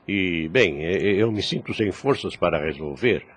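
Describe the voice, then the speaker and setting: A man's voice: low voice, animated, normal speed, breathy edge. An actor playing a kindly, frank older priest in a radio drama, formal and fatherly. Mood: fatherly, concerned.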